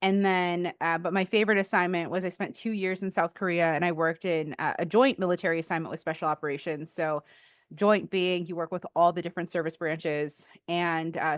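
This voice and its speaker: Woman, 30s, interview guest; low-ish, smooth, dry close sound, rambling and chatty. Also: no room sound. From Philippines. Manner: chatty, with frequent fillers and restarts. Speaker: guest, defense contractor and Air Force veteran. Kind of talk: casual interview conversation.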